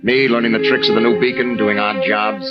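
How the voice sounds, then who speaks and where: A man's voice: fairly low pitch, steady tone, brisk, close to an everyday voice. A man playing an anxious young lighthouse keeper in old-time radio style, hesitant and stammering when nervous. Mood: wistful, calm. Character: anxious young husband, new lighthouse keeper, hiding fear. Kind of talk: old-time radio acting and first-person narration. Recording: dull vintage radio recording, loud music.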